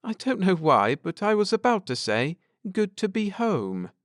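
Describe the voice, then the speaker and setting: A man voicing an eager, warm-hearted woman space traveller in an audiobook, animated and wistful. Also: sits high, unhurried, dry recording, studio quality, voice pushed up. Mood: amused. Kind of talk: audiobook character dialogue.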